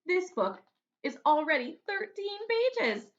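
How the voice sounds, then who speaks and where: A woman voicing a chatty, confident little schoolgirl in an animated storybook read-aloud. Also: very high-pitched, takes it slowly, lo-fi sound, pitched above the performer's own voice, heavily acted. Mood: proud.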